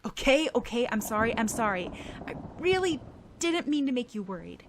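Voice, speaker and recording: A woman's voice: a little high, big pitch swings, normal speed, pitched above the performer's own voice. A woman voicing a playful, affectionate and earnest teenage boy in an audiobook story, with noise behind her. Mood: pleading.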